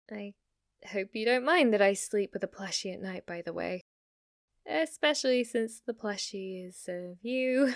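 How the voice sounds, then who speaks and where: Slow, teasing lovestruck witch girlfriend, acted by a woman. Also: medium pitch, no room sound, clean studio sound. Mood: boastful, playful.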